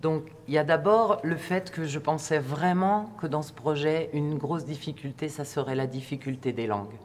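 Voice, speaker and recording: A man giving a reflective interview, speaking hesitantly and deliberately as a teacher-trainer. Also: on the high side, a little hiss or hum.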